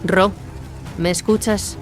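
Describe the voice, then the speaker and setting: Actress as resolute female warrior nun, mid-pitched, solemn, commanding, zealous, audio drama. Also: steady tone, takes it slowly, music in the background, close to an everyday voice. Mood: grave. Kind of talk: dramatised audio fiction acting.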